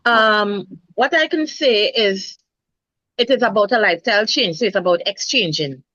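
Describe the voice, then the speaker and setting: A woman's voice: mid-range voice, lively intonation, moderate pace, sharp tone, dry recording. Earnest woman nurse, dry lo-fi sound. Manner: earnest, repeats herself while finding words. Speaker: nurse, guest. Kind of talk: casual health talk.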